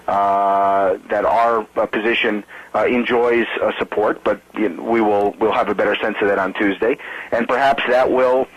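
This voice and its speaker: An American man, a campaign spokesman, answering reporters on a conference call in a halting, measured way. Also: lowish voice, fairly even intonation, dry recording, highs cut off. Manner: halting, measured, hesitant delivery.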